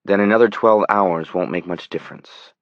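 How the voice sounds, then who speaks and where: Male audiobook doctor, calm authority, firm, lowish voice, acted by a male reader. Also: normal speed, no room sound, highs cut off. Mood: firm, soothing.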